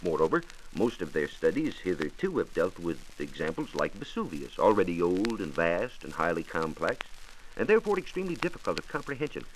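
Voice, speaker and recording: A man's voice: low-pitched, big pitch swings, a little fast. An American man giving a formal, precise scripted science explanation as a 1940s radio reporter. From United States. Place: radio studio. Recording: noisy vintage 1940s radio transcription disc.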